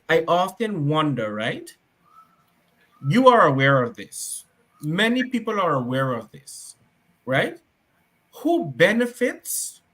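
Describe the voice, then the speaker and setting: A man's voice: on the high side, bright, forceful tone. A man hosting a talk show, asking pointed questions and reading out viewer comments. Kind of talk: casual talk show discussion.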